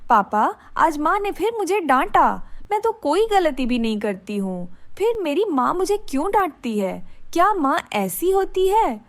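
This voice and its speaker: A woman's voice: high-pitched, sing-song, measured pace, few pauses, dry recording, clean studio sound. A woman voicing a devout, mistreated young daughter in a dramatized moral story, speaking in an earnest, emotional, pleading way. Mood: innocent, pleading.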